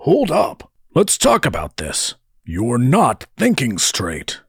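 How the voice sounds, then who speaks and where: A big brutish halfkin fighter from a fantasy audio tale, acted by a male narrator. The voice is very rough and husky, lifted in pitch, with blunt, incredulous, colloquial delivery. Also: wildly swooping pitch, takes it slowly, a bit bright, dry recording, clean studio sound, full character voice. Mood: alarmed protest. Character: big brutish halfkin warrior brother, blunt, dismissive, dim.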